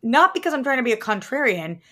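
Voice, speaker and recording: A woman's voice: high-pitched, big pitch swings, fast talker, a bit bright. A woman co-hosting a film review show, talking casually and enthusiastically in an informal, slangy way. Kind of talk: casual chat.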